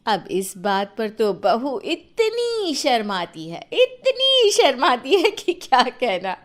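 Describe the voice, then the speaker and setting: A woman storyteller with a fairly high voice that rises and falls a lot. She takes her time, narrating a scripted tale with playful drama. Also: huge pitch swings, takes it slowly.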